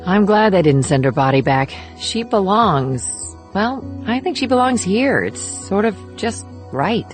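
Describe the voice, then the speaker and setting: A woman's voice: mid-range voice, sing-song, a little slow, soft background music. A woman performing an earnest, reflective female government operative in an audiobook thriller. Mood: tender, resolute. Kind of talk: dramatic audiobook dialogue.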